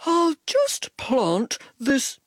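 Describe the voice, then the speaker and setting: A woman voicing an excitable, impatient male pet dog in a children's storybook, eager and exclamatory. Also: high voice, extremely slow, no room sound, clean studio sound, pitched above the performer's own voice, heavily acted. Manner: eager, exclamatory, repeats words.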